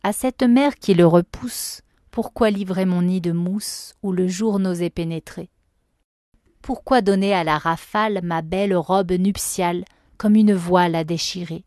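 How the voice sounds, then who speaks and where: A woman reciting a literary poem with measured, expressive phrasing. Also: lowish voice, dry recording, clean studio sound. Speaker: poetry reader.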